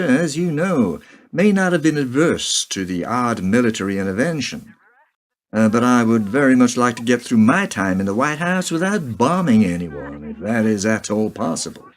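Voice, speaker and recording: An actor playing a cautious, diplomatic American president in a radio play, speaking in a measured, formal way. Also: male voice, mid-range voice.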